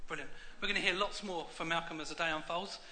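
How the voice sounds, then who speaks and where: A man's voice: a little high, fairly even intonation, fast talker, bright, forceful tone, a little hiss or hum. A man hosting a church event, interviewing a guest on stage in a jokey, encouraging way. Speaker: event host.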